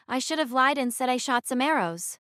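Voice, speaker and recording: A woman's voice: sits high, animated, brisk, bright, forceful tone, dry recording, clean studio sound. A woman playing a defiant, brooding teenage girl who narrates her own story in an audio drama. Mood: uneasy. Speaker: narrator and lead actress.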